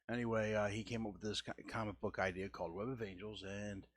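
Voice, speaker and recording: A man in his forties hosting a casual art show, talking in a halting way with frequent stammers and restarts. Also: steady tone, vocal fry, no room sound, clean studio sound. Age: 40s. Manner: halting, restarts and stammers, deliberate lowered delivery. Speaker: host. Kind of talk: casual art-stream chat.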